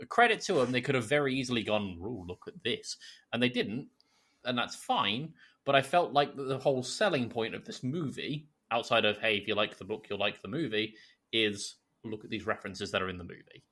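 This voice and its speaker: A man's voice: mid-range voice, animated, brisk, a bit bright. A man co-hosting a review podcast, giving casual, wry and opinionated takes. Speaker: podcast co-host.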